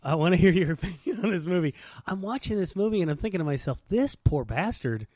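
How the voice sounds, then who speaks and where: A man's voice: high voice, animated, fast, a little mellow, no room sound, studio quality. A man co-hosting a podcast, telling things informally and colloquially in casual sports banter. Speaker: podcast co-host.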